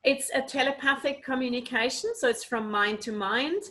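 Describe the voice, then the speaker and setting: A woman's voice: sits high, normal speed, fairly bright tone. A woman from Switzerland, a guest animal communicator, answering interview questions in an earnest, explaining way with many "you know"s, over a video call.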